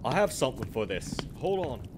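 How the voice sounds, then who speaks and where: Man acting a lively, halting pirate first mate. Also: medium pitch, background noise, lightly acted. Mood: awkward, cheerful. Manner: hesitant, halting with ums. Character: loyal, affable, bumbling male pirate first mate. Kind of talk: roleplay acting.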